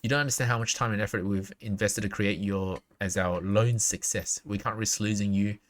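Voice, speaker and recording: A man livestreaming a video game, reading dialogue aloud haltingly and dropping in his own reactions. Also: fairly low pitch, steady tone. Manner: reads on-screen text aloud haltingly, interjects reactions. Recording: clearly noisy mic with clicks.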